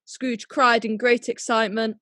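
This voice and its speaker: A woman's voice: on the high side, level tone, normal speed, sharp tone, no echo, clean studio sound. A woman narrating a classic tale as a scripted radio play, in storybook style. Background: music.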